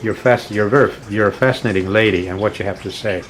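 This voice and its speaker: A woman's voice: extremely low pitch, quick pace, a little hiss or hum. A woman interviewing a guest, affirming her and summing up her points before asking questions.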